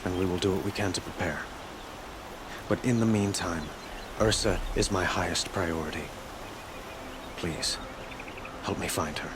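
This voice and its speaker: An actor playing an earnest, weary young sun king in a video game, speaking in a measured, formal and gracious way. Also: low-pitched, background noise. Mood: concerned, resolute. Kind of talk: video game dramatic acting.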